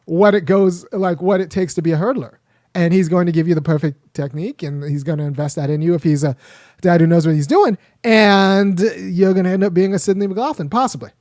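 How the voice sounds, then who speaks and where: A man's voice: a little high, mellow, no echo, studio quality. A man with young children hosting a casual, rambling commentary monologue, often restarting his sentences. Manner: rambling, frequent restarts and fillers. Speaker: host.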